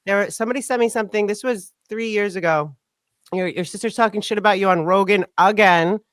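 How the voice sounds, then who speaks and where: A woman's voice: medium pitch, fairly quick, no room sound, clean studio sound. A woman talking candidly in a casual conversation, full of "like" fillers. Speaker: podcast host.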